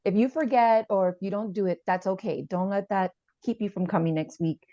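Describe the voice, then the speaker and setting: A woman playing a calm, supportive family therapist, speaking in a reassuring, slightly hesitant way in a role-played session. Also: medium pitch, fairly quick, lightly acted. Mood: patient, encouraging. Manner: reassuring, hesitant, repeats gently. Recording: echoey room, slightly dull sound.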